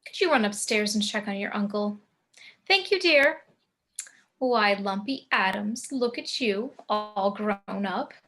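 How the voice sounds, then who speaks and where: A woman's voice: medium pitch, expressive, measured pace, a bit bright. A woman acting an elegant, gracious gothic matriarch with polite theatrical poise in a script table read.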